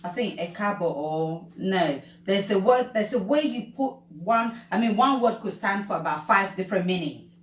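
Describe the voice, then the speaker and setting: A young woman hosts a relaxed chat, talking in quick questions and breaking into laughs. Her voice sits at a middle pitch. She sounds distant from the mic, in an echoey room. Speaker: host. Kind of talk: casual chat. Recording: roomy, from far off.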